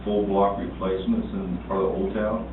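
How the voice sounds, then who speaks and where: A deep-voiced man talks through town repairs and funding in a plain, rambling way. He sounds far from the microphone in a very echoey room, with a thin, phone-like sound and steady background noise. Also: fairly quick. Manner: explanatory, plain-spoken, frequent fillers and restarts. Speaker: town manager. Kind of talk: budget discussion at a town board meeting. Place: council meeting room. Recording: echoey, distant, phone-like, noisy meeting recording.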